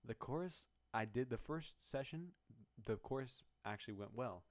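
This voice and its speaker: A man with a very low voice casually walking through his own song's project file, rambling and poking fun at himself. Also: lively intonation, normal speed. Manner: rambling, self-deprecating, many restarts. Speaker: musician explaining his song.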